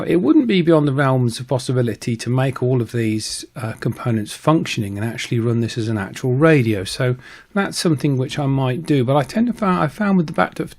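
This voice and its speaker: A man narrating a casual how-to video as a hobbyist maker, in a conversational, halting way with frequent ums and pauses. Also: mid-range voice, a little mellow. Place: voice-over recording.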